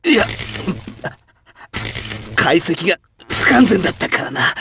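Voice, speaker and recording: An actor voicing a proud, obsessed robot scientist villain in a dramatic, boastful, brooding game style, with music underneath. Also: male voice, high voice, animated, slow, creaky, heavy background noise, heavily acted. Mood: bitter, desperate. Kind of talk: dramatic video game voice acting.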